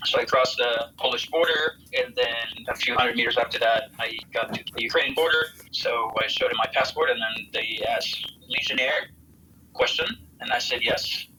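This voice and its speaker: A middle-aged American man from Texas recounting his experience in an interview in a measured, matter-of-fact way. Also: mid-range voice, sharp tone, slight background noise, low-quality recording. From Texas, United States. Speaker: interviewed military volunteer and veteran.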